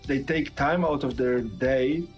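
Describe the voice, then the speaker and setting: A man's voice: medium pitch, unhurried, soft background music. A man guesting on a streamer interview, talking casually with dry jokes and rambling asides.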